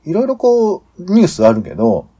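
A man's voice: high voice, huge pitch swings, brisk, mellow. A man in a casual planning discussion as a podcast host, speaking hesitantly as he searches for his words.

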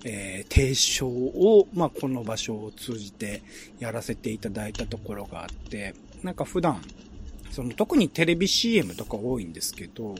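Animated man hosting, over background noise. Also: medium pitch, normal speed. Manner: hesitant, roundabout, polite. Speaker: host. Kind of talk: relaxed round-table chat.

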